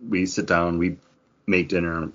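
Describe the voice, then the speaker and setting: Male podcast host, very low husky voice, easy chat style, muffled lo-fi recording. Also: flat delivery, a little slow. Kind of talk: casual chat. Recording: dull lo-fi audio.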